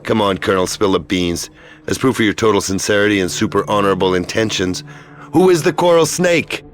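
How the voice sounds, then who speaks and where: A defiant adventurer acted by a man in a video game cutscene. His voice is on the low side, and he speaks with wry, mocking sarcasm over clearly audible music. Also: animated, a little fast, close to an everyday voice. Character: defiant, sardonic male adventurer, quick with mockery.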